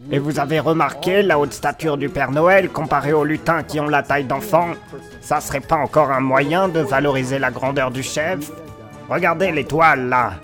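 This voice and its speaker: Lightly high-pitched man commenting over music. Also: moderate pace. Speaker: commentator in a satirical investigation video. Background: background music under the voice.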